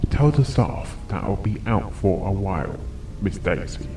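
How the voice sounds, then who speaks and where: A man playing a shaken, earnest doctor pony, grave and deliberate, in an audio drama. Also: sits low, very animated, over music, exaggerated voice. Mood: determined. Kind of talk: audio drama fan fiction acting. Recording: distant mic, faint background noise.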